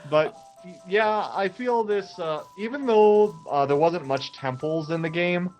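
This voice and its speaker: Halting young man over faint music. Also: high voice, darkish tone. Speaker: let's play co-host. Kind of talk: casual gaming commentary.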